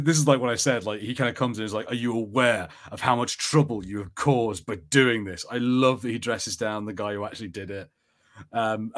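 A man chatting casually as a podcast host, rambling conversationally with halting, restarted sentences. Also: mid-range voice. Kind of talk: casual chat.

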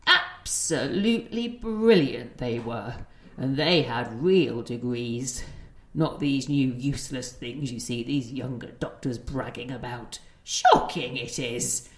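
A woman acting a proud, sharp-tongued elderly grandmother in an audio play, with haughty, sarcastic retorts. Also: rumbling low, slow, reverberant. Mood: indignant.

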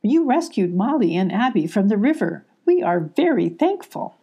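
A woman's voice: mid-range voice, big pitch swings, moderate pace, mellow, breathy edge, pitched above the performer's own voice. A woman voicing a motherly, wise, reassuring owl in a children's storybook, with hooting exclamations. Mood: kind, questioning.

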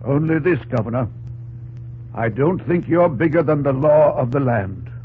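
An actor playing a civic-minded frontier doctor in an old-time radio drama, polite, measured and calmly principled. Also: male voice, mid-range voice, soft background music, close to an everyday voice. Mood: firm, polite. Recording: muffled old radio recording, faint noise.

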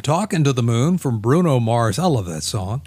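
A man's voice: mid-range voice, very animated, normal speed, darkish tone, slightly rough, no echo. A man co-hosting a music show, chatting casually and agreeably about old songs. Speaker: radio show co-host.

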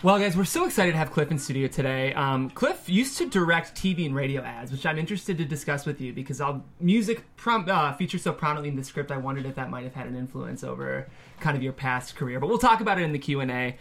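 A man's voice: mid-range voice, animated, fast talker, fairly bright tone. A man hosting a studio table-read show, presenting and reading out screenplay narration in an upbeat way.

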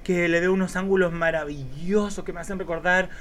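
Man acting a high-voiced, flamboyant smitten film director. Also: fairly even intonation, a little fast, a bit bright, a little hiss or hum. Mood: flattering, insinuating. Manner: theatrical, gushing, florid. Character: smitten, flamboyant B-movie filmmaker, courtly and lecherous. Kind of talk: campy radio play acting.

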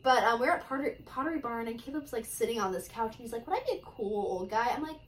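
A woman's voice: fairly high pitch, lively intonation, very fast, slight background noise. A nineteen-year-old woman chatting casually as a podcast co-host, slangy, joking and self-deprecating, recorded at a distance from the mic. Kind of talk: casual chat.

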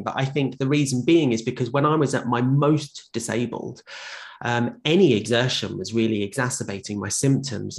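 A man sharing his own health experience in a casual conversation, often pausing and restarting. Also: mid-range voice, vocal fry. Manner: rambling, many fillers and restarts. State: short of breath at times. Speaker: guest with lived experience of long covid. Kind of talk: conversational personal account.

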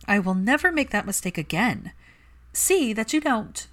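A woman's voice: a little high, big pitch swings, normal speed, a bit bright, pitched above the performer's own voice. A woman voicing a bold, mischievous young witch with a sly, blunt way of talking in a story reading. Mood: appalled.

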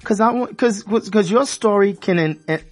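A man's voice: sits high, normal speed. A man hosting a casual radio interview in loose, slangy talk, often repeating himself. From Haitian background. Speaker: radio show host.

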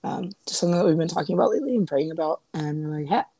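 Young female co-host, voice worn raspy and husky, low and dark, close dry mic, laughs easily. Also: rapid-fire, no echo. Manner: laughs often, relaxed and chatty. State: hoarse, voice partly lost. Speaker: podcast co-host. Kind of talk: casual faith podcast chat. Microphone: home podcast mic.

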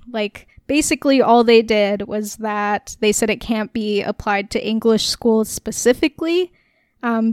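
A woman's voice: a little high, darkish tone, smooth, dry recording, clean studio sound. A woman co-hosting a casual political podcast, explaining at length with a wry, sarcastic edge and frequent laughs. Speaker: podcast co-host.

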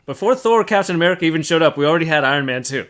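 A man's voice: on the high side, animated, racing, a bit bright. A man hosting a podcast in a casual panel discussion, talking in an explanatory way and posing rhetorical questions. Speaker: podcast host.

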